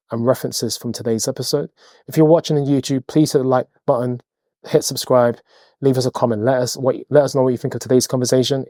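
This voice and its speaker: A man's voice: mid-range voice, darkish tone, no room sound. A male podcast host with a mid-range voice, eager and full of thanks, who often trips on his words and restarts. He is recorded close up in a dry space. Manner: effusive, eager, repeats thanks, stumbles on words. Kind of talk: podcast interview hosting. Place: podcast studio.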